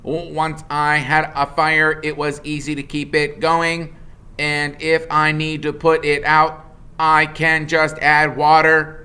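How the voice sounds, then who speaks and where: Man voicing a high, measured female robot. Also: monotone, sharp tone, clean tone. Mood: matter-of-fact. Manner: measured, precise, matter-of-fact. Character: female robot, polite, literal, earnest, helpful.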